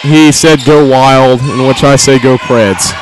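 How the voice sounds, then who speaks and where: A man's voice: medium pitch, fairly even intonation, takes it slowly. A man giving casual live color commentary at a basketball game. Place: large indoor school gymnasium during a game. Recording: noisy gym crowd and bouncing ball. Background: crowd noise, basketball bounces.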